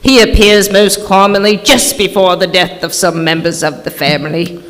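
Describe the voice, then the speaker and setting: A woman's voice: lowish voice, steady tone, normal speed, a bit bright, close to an everyday voice. A woman playing an ominous housekeeper in a live old-time radio play, theatrical and foreboding. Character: ominous English housekeeper of a haunted castle. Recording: echoey hall stage recording.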